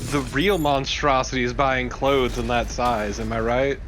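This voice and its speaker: A man's voice: mid-range voice, steady tone, a little slow, fairly bright tone. A man co-commentating on a game, wisecracking and swearing in casual banter. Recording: faint background noise. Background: game sound.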